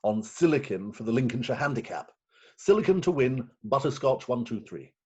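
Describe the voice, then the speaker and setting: Dull video-call audio of a man playing a doting gentleman in a farce. The voice is highish and very lively in pitch, a little husky, with a fond, hesitant delivery. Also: moderate pace. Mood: genial, flustered. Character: genial, doting Victorian gentleman stepfather. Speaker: actor in an amateur staged reading. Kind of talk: Victorian farce stage acting.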